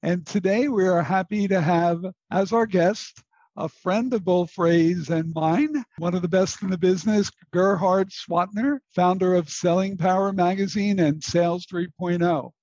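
A male podcast host with a high voice introduces his show and guest in a formal, announcer-like way. The recording is dry and a little muffled. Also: a little slow, darkish tone, dry recording.

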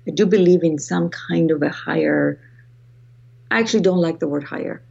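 Low husky Greek-accented woman. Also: moderate pace, a little mellow, lo-fi sound. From Greece. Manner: reflective, restarts phrases often. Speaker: author guest. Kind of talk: podcast interview.

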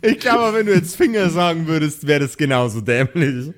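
A man's voice: on the high side, very animated, normal speed. A man running an improvised tabletop role-play as game master, narrating casually.

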